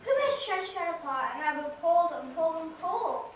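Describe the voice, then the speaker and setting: Casting: schoolgirl storybook narrator, high voice, recorded from far off. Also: lively intonation, brisk. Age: child, about ten or eleven. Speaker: narrator in readers theater. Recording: distant echoey room, noisy recording.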